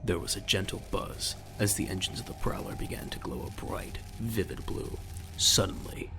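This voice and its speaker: A man narrating a scripted audio drama in a measured, dramatic, gravelly voice. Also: deep voice, fairly even intonation, whispered.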